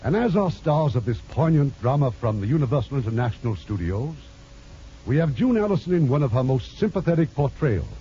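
A man hosting a radio theatre, giving a formal, deliberate scripted introduction in 1950s style. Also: mid-range voice, sing-song, mellow, breathy edge. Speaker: radio theatre host and producer. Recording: muffled 1950s radio broadcast.

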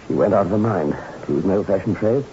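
Deep-voiced, earnest grieving father. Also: fast talker, mellow. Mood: grave, confiding. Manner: earnest, confessional, formal. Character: grieving, pleading father guarding his deranged daughter on an island. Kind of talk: 1960s radio drama acting. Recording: muffled, noisy old 1960s radio recording.

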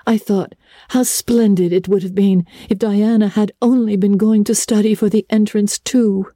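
A woman's voice: mid-range voice, fairly even intonation, normal speed, mellow, no room sound, studio quality, pitched above the performer's own voice, lightly acted. A woman playing an earnest, imaginative, chatty schoolgirl in her early teens, full of opinions and dramatic flourishes, in a storybook reading. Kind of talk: dramatic audiobook reading of classic novel.

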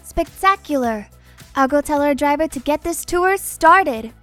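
High-voiced upbeat tour companion. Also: female voice, lively intonation, unhurried, a bit bright, faint music behind. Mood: upbeat, eager. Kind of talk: scripted children's audio drama acting.

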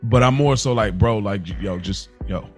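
A man's voice: lowish voice, normal speed. A man co-hosting a podcast in casual, slangy chat, repeating words emphatically to make his point. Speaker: podcast co-host. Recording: music playing behind the voice. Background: background music.

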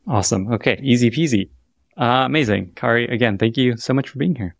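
A man's voice: mid-range voice, fairly quick, vocal fry. A man hosting an interview podcast, upbeat and enthusiastic as he asks questions and wraps up. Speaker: podcast host.

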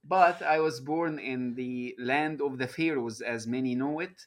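A man, a professor and interview guest, who speaks in a measured, halting way with frequent fillers. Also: mid-range voice. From Egypt. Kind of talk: interview conversation.

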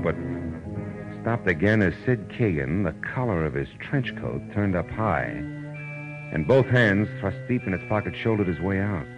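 A man acting as a hard-boiled American private detective, very low in pitch, wry and clipped. He sounds like 1940s radio drama, on a dull old recording with loud music. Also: lightly acted. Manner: clipped, wry, slangy tough-guy talk.

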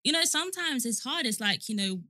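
A woman's voice: high-pitched, big pitch swings, fairly quick, pushed and bright, no room sound. A woman co-hosting a podcast, talking casually and candidly in an informal, reassuring way. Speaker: podcast co-host. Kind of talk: candid casual chat, giving advice.

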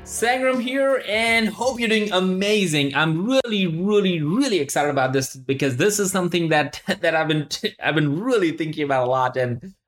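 A man's voice: high voice, expressive, normal speed, hardly any pauses, fairly bright tone, faint music behind. A man hosting a solo motivational podcast, talking conversationally and emphatically, repeating words and putting questions to the listener. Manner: poses rhetorical questions to the listener. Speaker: podcast host.

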